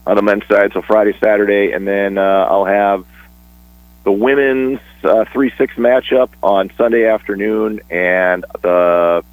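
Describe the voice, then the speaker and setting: A man talking casually on a radio sports show, chatty and a bit rambling, with restarts and quick laughs. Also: fairly low pitch, steady tone, no room sound, poor audio. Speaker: sports broadcaster guest.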